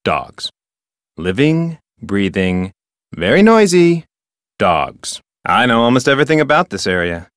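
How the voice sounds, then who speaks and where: Pompous park ranger, widely swooping intonation. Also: male voice, mid-range voice, sing-song, a little slow, a bit bright, no echo, studio quality. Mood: matter-of-fact, pompous. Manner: formal, pompous, self-important. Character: officious, dutiful, touchy park ranger and local history curator. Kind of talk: video game character dialogue.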